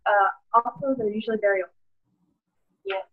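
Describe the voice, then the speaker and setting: A teenage girl gives casual, halting answers as an unsure student. Her voice is fairly high and very animated, heard through a thin, phone-like call. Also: husky.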